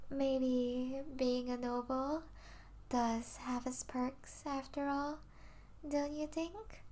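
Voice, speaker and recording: A high-voiced vampire princess, played by a woman, lingers very slowly over her words. She is regal and possessive, yet soft and doting, slipping into breathy asides as if in an intimate ASMR roleplay. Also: little pitch movement, slight background noise. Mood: possessive, coaxing. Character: possessive yet tender vampire princess, mistress of a human pet.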